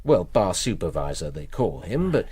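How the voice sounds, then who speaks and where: A British actor playing a shrewd private investigator in a radio drama, measured, hedging and matter-of-fact. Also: male voice, low voice, big pitch swings, warm tone, husky, exaggerated voice. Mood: businesslike. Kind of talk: naturalistic radio drama acting.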